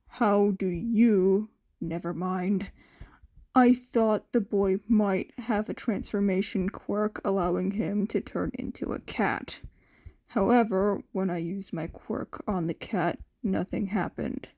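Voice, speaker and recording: A woman voicing a weary adult male hero, with a terse, halting, low-key manner. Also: steady tone, dark tone. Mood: puzzled, terse.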